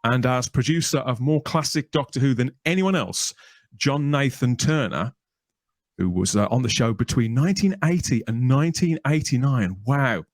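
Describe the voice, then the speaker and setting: A man's voice: mid-range voice, animated, normal speed, no echo, studio quality. A man hosting a podcast, introducing and interviewing in a chatty, wordy manner with theatrical presenter phrasing. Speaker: podcast host.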